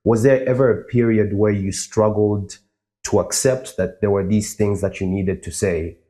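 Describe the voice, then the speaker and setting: A man raised in Zambia hosts the interview, putting careful, thoughtful questions and murmuring along as his guest talks. His voice sits on the low side and sounds mellow and warm. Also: steady tone, normal speed. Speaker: interviewer, host. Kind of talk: conversational interview.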